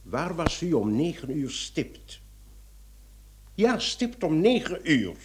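Excitable, blustering police commissioner with swooping pitch. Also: male voice, takes it slowly, slight background noise. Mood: stern, interrogating. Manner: theatrical, exclamatory, grumbling. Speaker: actor in a radio play. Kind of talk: Dutch-language radio play acting.

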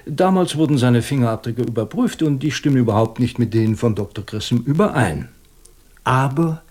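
A male actor as a police inspector in a radio crime play, speaking standard German at a medium male pitch. He questions methodically and deliberately, with ironic courtesy and a shrewd edge. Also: darkish tone, close to an everyday voice. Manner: probing, deliberate, ironically polite.

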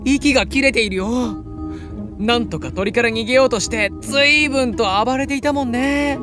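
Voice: medium pitch, normal speed, over music, close to an everyday voice. An actor voicing a cheerful, carefree younger brother with easygoing, boyish casual speech in an anime-style audio drama. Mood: surprised, mildly concerned.

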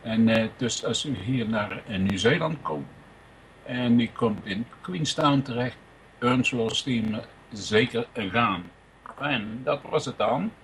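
A man presenting music informally, speaking off the cuff in a rambling, halting, chatty way. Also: mid-range voice, slight background noise, rough recording.

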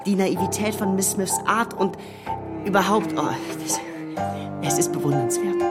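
An actor playing a gallant young gentleman suitor in a period radio drama, effusive, flustered and eager to flatter. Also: sits high, moderate pace, lightly acted. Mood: enraptured. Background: prominent music.

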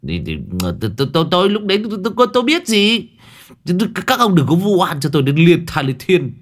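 A man's voice: medium pitch, sing-song, brisk, bright, forceful tone. A man voicing a peevish older male boss who stammers and whines when he is flustered, in a comic spoken story.

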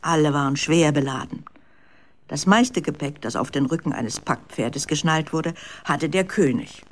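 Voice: mid-range voice, normal speed. Children's radio drama narrator. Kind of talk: scripted storytelling narration for children.

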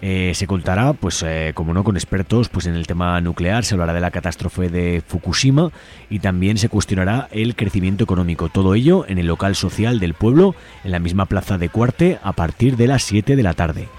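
A man's voice: sits low, animated, fairly quick, darkish tone. A man hosting radio, reading out community event announcements in an informal, conversational way. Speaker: radio show host. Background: music.

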